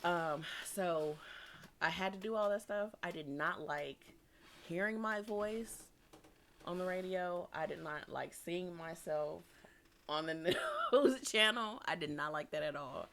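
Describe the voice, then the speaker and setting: A young woman with a deep, low voice that rings bright and forceful, telling a story in loose, casual conversation. She laughs often and animates her tale, and a faint hiss sits behind her. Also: big pitch swings, a little slow. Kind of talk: casual chat.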